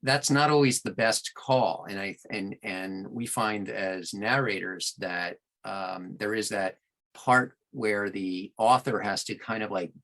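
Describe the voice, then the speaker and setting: Very deep, bright-voiced man in interview. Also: slow pace. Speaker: guest audiobook narrator and producer. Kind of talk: thoughtful interview talk.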